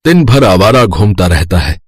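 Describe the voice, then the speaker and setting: A man's voice: sits low, sing-song, normal speed, breathy edge, no room sound, full character voice. A man playing a proud, indignant father of grown daughters in a cartoon story, speaking with firm reproach.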